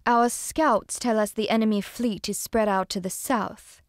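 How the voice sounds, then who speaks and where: An actress playing a grave, determined noblewoman war leader in a video game, solemn and measured. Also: fairly high pitch, fairly even intonation, no room sound, studio quality, close to an everyday voice. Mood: commanding. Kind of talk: video game cutscene acting, dramatic briefing.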